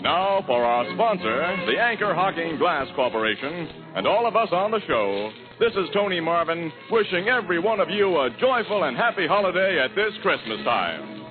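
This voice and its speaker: High-pitched, animated 1940s male radio announcer. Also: normal speed. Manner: formal, emphatic, declamatory. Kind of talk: 1940s radio announcing, scripted sponsor copy. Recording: tinny 1940s radio broadcast, music behind.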